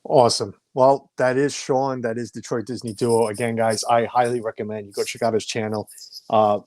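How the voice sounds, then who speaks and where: A man's voice: mid-range voice, flat delivery, moderate pace, a little mellow, dry recording. A man in his forties hosting a casual live stream from home, chatty, stumbling over names and reading viewer comments aloud. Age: 40s. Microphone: home streaming setup.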